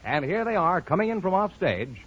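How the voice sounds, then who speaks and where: A man's voice: sits high, sing-song, a little fast, fairly bright tone, slightly rough. An American man announcing a 1940s radio quiz show from a script. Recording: muffled vintage radio broadcast.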